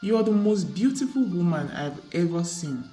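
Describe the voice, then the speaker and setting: A woman voicing a warm, friendly young man from the city in a folktale, speaking softly and smitten. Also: fairly low pitch, expressive, normal speed, reverberant, soft background music, lightly acted. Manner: warm, soft.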